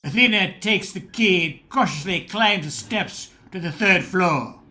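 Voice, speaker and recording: Casting: man as radio-drama narrator, higher-register voice with a sharp, bright edge, scripted and dramatic. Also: a little slow.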